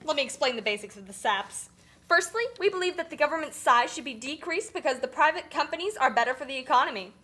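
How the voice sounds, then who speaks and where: An officious lending official in a puppet show, acted by a woman. The voice is high and bright, authoritative and explanatory. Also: animated, fast. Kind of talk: scripted educational puppet show.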